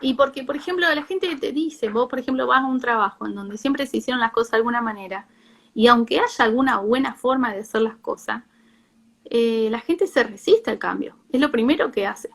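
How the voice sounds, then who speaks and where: A woman psychologist with an Argentine accent, explaining informally in a live talk with a halting, self-rephrasing delivery. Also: on the high side, vocal fry, a bit bright. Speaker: psychologist, guest speaker.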